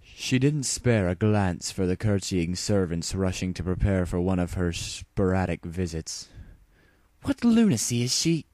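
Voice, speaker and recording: Deep-voiced man narrating a story. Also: fairly even intonation, unhurried, few pauses, darkish tone, no echo. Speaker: audiobook-style narrator. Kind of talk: dramatic scripted narration of a fan story.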